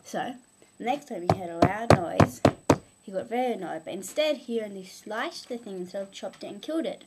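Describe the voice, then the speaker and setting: A woman's voice: mid-range voice, animated, moderate pace, heavy background noise. A young woman telling improvised, humorous moral stories informally, with a playful, mock-instructive manner. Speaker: amateur storyteller.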